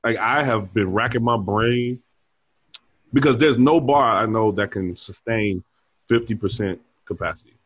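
A man's voice: on the low side, unhurried, husky, dry recording, highs cut off. A man in his forties, a bar manager, chatting casually as an interview guest and telling stories with frequent laughs. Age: 40s. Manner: easygoing storyteller who laughs often.

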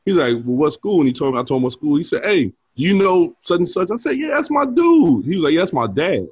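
A man's voice: on the high side, very animated, fast talker, a little mellow, slightly rough, dry recording, dull audio. A man in his forties, a bar manager, chatting casually as an interview guest and telling stories with frequent laughs. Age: 40s. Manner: easygoing storyteller who laughs often.

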